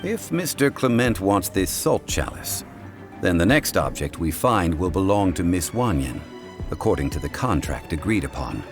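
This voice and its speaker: Composed ancient sage; very deep, melodic, unhurried formal delivery, score underneath. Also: male voice, very animated. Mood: calm. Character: calm, wise, ancient male consultant. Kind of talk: video game voice acting. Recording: game audio with loud music.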